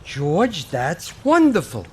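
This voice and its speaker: Eager old-fashioned angel,, fairly high voice, radio play. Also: male voice, huge pitch swings, slow pace, darkish tone, breathy edge, heavily acted. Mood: gentle. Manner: quaint, eager, genteel. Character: gentle, fussy, old-fashioned male angel. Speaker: radio actor. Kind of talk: 1940s radio acting.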